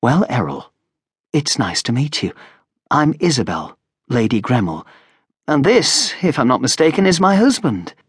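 A man's voice: mid-range voice, big pitch swings, unhurried, slightly rough, no echo, studio quality, voice pushed up. A man voicing a kindly, gracious noblewoman in an audiobook, with a soothing and polite manner. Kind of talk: audiobook character voice.

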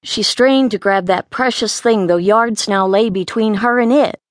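A woman's voice: medium pitch, a little slow, no echo, clean studio sound. A woman reading a story aloud as an audiobook narrator, in scripted narration.

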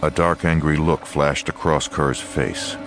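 A man's voice: a bass voice, fairly even intonation, unhurried, over music. A man reading scripted narration for a dramatized western audiobook.

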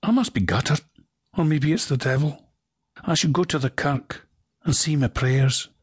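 A man's voice: a little high, normal speed, slightly rough, no echo, clean studio sound, pitched above the performer's own voice. A man voicing a grumbling male inner voice with a broad Scottish accent, scolding in thick dialect. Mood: bewildered. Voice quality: brogue-heavy, scolding.

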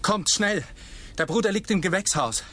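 A man's voice: sits high, lively intonation, moderate pace, a bit bright, slight background noise. An actor playing an anxious, guilt-ridden young man in a radio drama, explaining himself earnestly. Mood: alarmed. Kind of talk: German radio drama acting.

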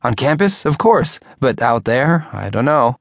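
A man voicing a flustered, excitable male gate guard in an audiobook story. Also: medium pitch, a little slow, vocal fry, no room sound, studio quality, voice pushed up, exaggerated voice. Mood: flustered, alarmed.

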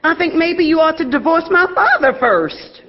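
An actress playing a sassy, proud young blues singer in a stage drama. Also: female voice, very high-pitched, lively intonation, moderate pace, highs cut off. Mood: pointed.

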